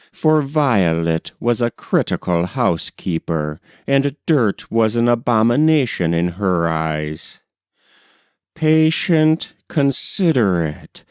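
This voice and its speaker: Male audiobook narrator for period fiction: slow, measured delivery, dry studio-like sound. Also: mid-range voice, darkish tone, smooth, dry recording. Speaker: audiobook reader. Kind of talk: scripted literary narration of a 19th-century novel.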